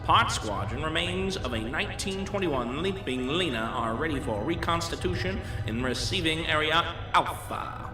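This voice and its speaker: A man's voice: on the high side, expressive, normal speed, hardly any pauses, close to an everyday voice. A man playing a formal headquarters announcer, making a public-address call in an audio drama. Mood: official. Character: formal male loudspeaker announcer at agency headquarters. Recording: echoey public-address sound over music.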